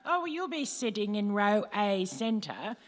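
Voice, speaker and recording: A woman's voice: medium pitch, very animated, unhurried. A woman playing a helpful, chatty attendant soul in Hades, explaining things in a rhymed radio play. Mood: obliging. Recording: reverberant hall recording.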